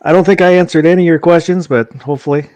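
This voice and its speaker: A man's voice: a little high, fairly even intonation, fast talker, darkish tone, no room sound. A man talking casually as a guest dropping in on a livestream. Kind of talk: casual chat.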